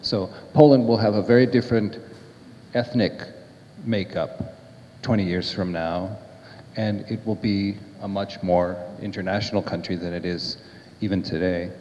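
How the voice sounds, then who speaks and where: A middle-aged man speaks on a panel in a measured way, without a script. His fairly low voice reverberates in the hall, and the recording is a little dull. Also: fairly even intonation, dark tone, a little hiss or hum. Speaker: panelist, diplomat. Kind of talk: unscripted panel remarks. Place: panel table in brick-walled indoor hall. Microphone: handheld microphone. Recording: echoey hall, slightly dull sound.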